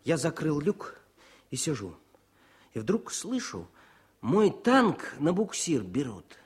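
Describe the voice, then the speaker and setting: An actor playing a modest, plucky wounded war correspondent, telling his story in halting colloquial bursts with a husky voice in a 1970s Soviet radio play. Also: fairly high pitch, huge pitch swings, heavily acted. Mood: animated recollection.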